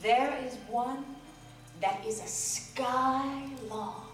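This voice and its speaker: A woman reading a literary story aloud in a live theater performance, with deliberate, suspenseful phrasing. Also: high voice. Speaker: reader in a staged ensemble reading. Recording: echoey distant live theater recording with music. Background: music and sound design.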